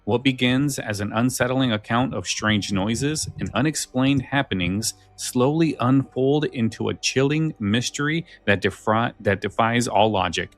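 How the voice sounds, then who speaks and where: A man's voice: medium pitch, a little slow, few pauses, faint music behind. A man co-hosting a podcast, chatting casually in an emphatic, enthusiastic way. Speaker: podcast co-host. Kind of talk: casual chat about scary stories.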